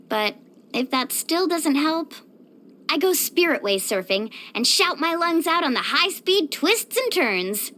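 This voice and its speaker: Super high bubbly surfer girl from a video game, peppy n friendly, really swoopy delivery, some noise behind. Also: a bit bright. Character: bubbly, sporty young woman surfer, upbeat and caring. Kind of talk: scripted video game voice acting.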